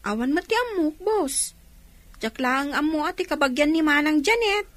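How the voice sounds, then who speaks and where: A woman's voice: high voice, lively intonation, normal speed, fairly bright tone, no room sound. A woman with an Ilocano accent acting in a radio drama as a deferential underling talking to a boss.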